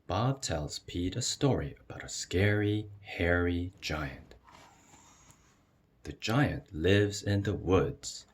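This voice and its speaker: Deep, animated man reading a storybook aloud. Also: slow, husky. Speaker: storyteller reading a children's picture book aloud. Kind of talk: expressive read-aloud narration.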